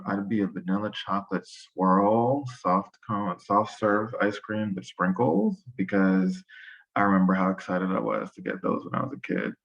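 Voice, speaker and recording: A man with a very deep, gravelly voice introduces himself to an online workshop, relaxed and chatty. The call audio sounds a little dull. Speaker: workshop participant. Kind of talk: casual self-introduction.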